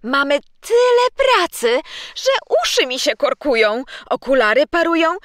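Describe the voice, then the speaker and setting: Casting: bossy older woman of the Christmas kitchen, scolding, highish animated voice, children's radio play. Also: a little slow, no room sound, exaggerated voice. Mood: scolding, flustered. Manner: scolding, theatrical. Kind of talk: children's audio drama acting.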